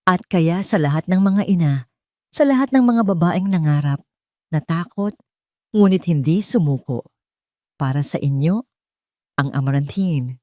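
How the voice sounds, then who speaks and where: Lady narrator doing a poised inspiring businesswoman speech for an audio drama, lowish voice, dry sound. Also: lively intonation, a little slow, pauses often, darkish tone, no room sound. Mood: heartfelt, proud.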